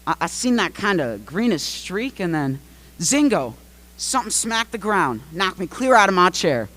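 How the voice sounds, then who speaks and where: An actor playing a slow, folksy male farmer who rambles hesitantly through a radio play interview. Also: mid-range voice, sing-song, fairly bright tone. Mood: folksy, excited.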